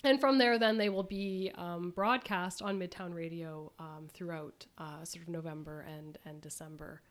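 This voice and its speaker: A woman hosting a conversational interview, speaking haltingly with many ums. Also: fairly low pitch, steady tone. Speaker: radio host.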